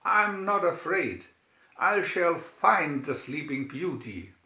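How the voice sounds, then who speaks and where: A man's voice: a little high, very animated, slow, pushed and bright, pitched above the performer's own voice, exaggerated voice. Man reading as a resolute young prince, echoey room. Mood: earnest resolve. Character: brave, courteous young storybook prince. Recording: dull, roomy amateur recording.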